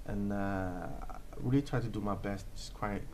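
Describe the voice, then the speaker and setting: A man in his thirties answers questions earnestly, stopping and restarting often and filling pauses with "um" and "uh". His voice sits low. Faint background noise hums under the recording. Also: darkish tone. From Norway. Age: 30s. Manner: hesitant, many fillers and restarts, earnest. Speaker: interview guest, comic book creator. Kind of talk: casual interview answers.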